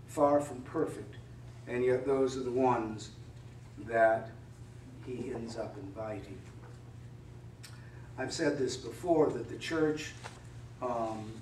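A man's voice: medium pitch, slight background noise. A middle-aged man, a pastor, preaching a sermon in a conversational, wry way with many rhetorical questions. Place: church sanctuary. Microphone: mic at a distance on a pulpit stand. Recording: roomy church echo from a distance.

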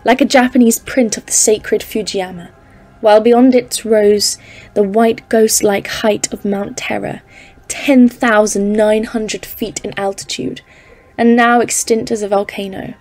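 A woman's voice: mid-range voice, fairly even intonation, measured pace, a little mellow. A woman playing a composed expedition leader, narrating a polar journal in a scripted audio drama. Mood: solemn, uneasy. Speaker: narrator and lead character.

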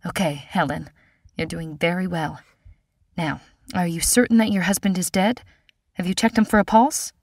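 A woman voicing an emergency operator who speaks in a measured, serenely calm way. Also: lowish voice, no room sound, clean studio sound, distant mic. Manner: measured, soothing, even.

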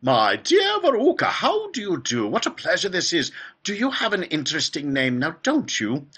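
An eccentric, clever old gentleman from a children's storybook, bubbles over with delight. The voice is high, fluty and bright, leaping about in pitch. He gushes and repeats himself as he welcomes his guests. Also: wildly swooping pitch, moderate pace, husky, full character voice. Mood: gushing delight. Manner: gushing, exclamatory, repeating himself. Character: excitable, clever old male factory owner, effusively welcoming.

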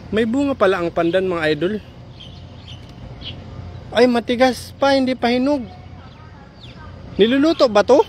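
Excitable high-voiced man vlogging outdoors. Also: measured pace, pauses often, slight background noise. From Samar, Philippines. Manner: chatty, excited exclamations, calls viewers "idol". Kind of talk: casual walking vlog, talking to viewers. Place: outdoor park by a pond, daytime.

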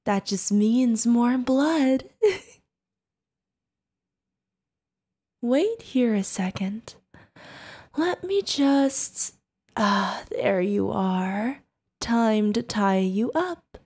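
A high-voiced, slow, giggly yandere killer. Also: female voice, animated, a little mellow, husky, exaggerated voice. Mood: quietly menacing. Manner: creepy, giggling, teasing singsong, drawn-out threats. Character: insane tall female yandere killer, giggling, sadistic, mockingly sweet. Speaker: voice actor. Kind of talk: ASMR roleplay acting.

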